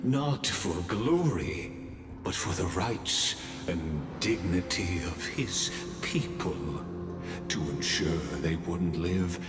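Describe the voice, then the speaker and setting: A deep grave chronicler. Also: male voice, animated, slow pace. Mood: solemn. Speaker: narrator. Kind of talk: solemn game narration. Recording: echoey hall with game music.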